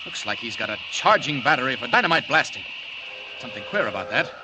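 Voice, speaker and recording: An actor playing a resolute flying superhero thinking aloud in old radio drama, in clipped, determined phrases. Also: male voice, medium pitch, big pitch swings, close to an everyday voice. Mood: alert, resolute. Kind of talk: 1940s radio drama acting. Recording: loud rushing noise, old radio recording.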